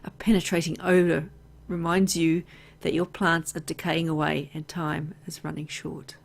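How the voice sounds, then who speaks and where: A woman with a fairly low voice and plenty of vocal fry narrates a story game. She reads the text aloud like a storyteller, then slips into casual, playful remarks of her own as a player. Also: flat delivery, moderate pace. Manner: reacts playfully to the story with exclamations and asides. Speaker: video game let's player.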